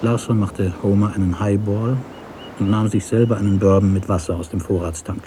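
A man narrating a literary story for radio in a measured, deliberate way. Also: on the low side, steady tone, dark tone, slight background noise.

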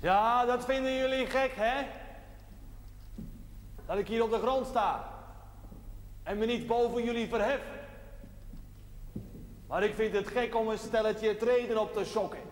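In a reverberant, faintly noisy hall, an earnest village chaplain. His high male voice preaches slowly and plainly, with emphatic pauses and a playful streak, as in a TV drama. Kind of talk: sermon in a television drama. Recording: noisy, echoey church-like hall.

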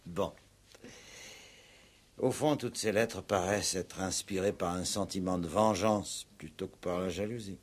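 An actor playing an indignant, determined village police commissioner in an emphatic, authoritative style of 1960s French radio drama. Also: male voice, low-pitched, measured pace. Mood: scrutinizing, scornful. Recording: old 1960s radio play recording.

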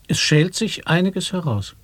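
A man reading experimental sound poetry in a radio play, calm and matter-of-fact. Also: high-pitched, unhurried, no room sound.

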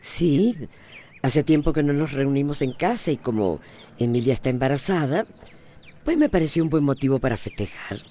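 A restless, crotchety old matriarch with a Central American accent. Her voice sits low and could almost pass for a man's. She is stubborn with affection underneath, in the manner of a radio serial. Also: big pitch swings, normal speed, mellow, slight background noise. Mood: matter-of-fact. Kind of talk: radio drama acting.

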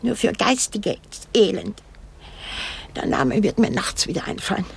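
An actress playing an elderly, haunted woman in a radio drama, rambling and brooding with halting, repetitive speech. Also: medium pitch, big pitch swings, slight background noise. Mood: musing, halting. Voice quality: husky, breathy, creaky.

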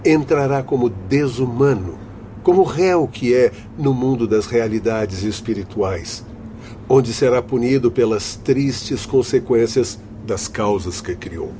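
A man's voice: mid-range voice, measured pace, mellow, a little hiss or hum, natural voice. A man acting in a radio drama as a stern, learned spirit guide who lectures in formal, emphatic oratory.

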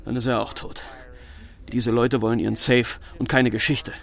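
An actor playing an anxious, urgent go-between in a German radio drama, speaking in a pressing, insistent way. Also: male voice, medium pitch, little pitch movement, normal speed, creaky, natural voice. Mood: grim, wary. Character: anxious, urgent male go-between warning of danger.

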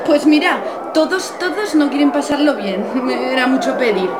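A woman playing an excitable, scornful young pilgrim in a radio drama, slangy and complaining, with an accent from Spain. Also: sits high, moderate pace. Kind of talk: amateur radio drama dialogue. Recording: noisy crowd recording with room echo.

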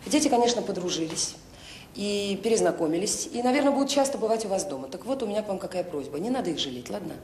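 Actress as devoted orphanage director lady, quick and earnest, halting phrases, radio play, roomy sound with a bit of hiss. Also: expressive, darkish tone, lightly acted. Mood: earnest, persuasive. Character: devoted, earnest woman director of a children's home. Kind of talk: naturalistic radio drama acting. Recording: roomy sound with faint noise and rumble.

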